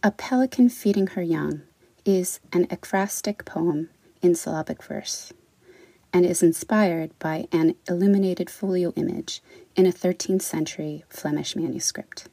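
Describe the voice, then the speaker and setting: A woman poet introducing and reading her work in an articulate, deliberate way. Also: fairly low pitch, warm tone, dry recording. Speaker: poet, writer. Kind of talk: spoken introduction and poetry reading.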